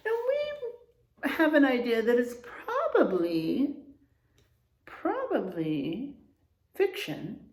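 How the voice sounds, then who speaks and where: A woman teacher reading a children's storybook aloud in an expressive way, adding explanations and checking in with her young listeners. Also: fairly high pitch. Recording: roomy, echoing room sound.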